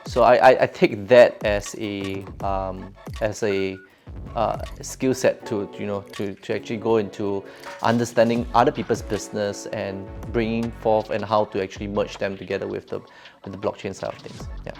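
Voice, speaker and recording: A middle-aged man with a Singaporean accent answering interview questions in a halting, rambling, conversational way. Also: on the low side, flat delivery, creaky, darkish tone. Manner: halting, rambling, repeats phrases as he goes. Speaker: interview guest, crypto educator and entrepreneur. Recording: background music under speech.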